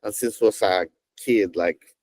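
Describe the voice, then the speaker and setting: Man, middle-aged, halting interview guest, dry close mic. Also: sits low, a little mellow, no room sound. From Chile. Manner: halting, many fillers and restarts, storytelling. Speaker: NASA engineer, guest. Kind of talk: conversational interview answers.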